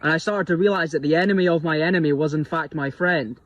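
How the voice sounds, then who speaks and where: A man's voice: a little high, fast talker, fairly bright tone, dry recording. A man delivering an impassioned, preachy monologue in an intense tone.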